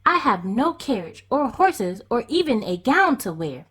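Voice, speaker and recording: A woman's voice: fairly high pitch, very animated, unhurried, a bit bright, slightly rough, pitched above the performer's own voice, heavily acted. A timid young storybook servant girl, acted by a woman reader, meek and hesitant. She speaks in a lifted, pleading voice. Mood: dismayed.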